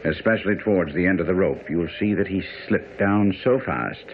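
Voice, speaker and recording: A man's voice: sits low, normal speed. A man acting as a self-assured master detective in a radio drama, precise, commanding and didactic. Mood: brisk, instructive. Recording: muffled, noisy radio broadcast.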